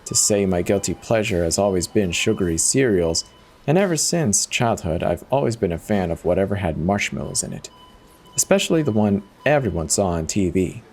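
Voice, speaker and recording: A man's voice: low-pitched, animated, moderate pace, a little mellow, husky, soft background music. A man giving a dramatic first-person reading of a horror story as its narrator.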